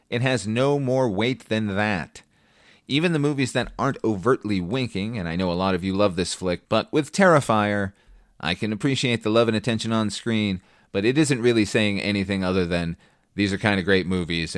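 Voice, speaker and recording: Low-voiced man reviewing films, close dry sound. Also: dry recording. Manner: opinionated, wry, casually profane. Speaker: film review podcast host. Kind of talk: scripted film review monologue, conversational.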